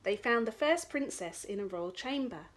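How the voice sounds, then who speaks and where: A woman storyteller giving an expressive reading of a children's story. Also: medium pitch, moderate pace, slight background noise.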